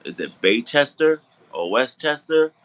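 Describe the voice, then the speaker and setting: A man from the Bronx hosting a casual video chat from his living room sofa. He talks in a relaxed way, repeats and restarts his phrases, and laughs easily. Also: mid-range voice, a little slow. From the Bronx, New York. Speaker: host.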